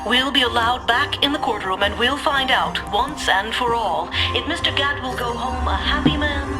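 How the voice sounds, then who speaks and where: Woman acting a TV reporter over loud music. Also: fairly high pitch, natural voice. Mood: suspenseful. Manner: formal, measured. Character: polished, dramatic television news reporter. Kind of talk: scripted news report.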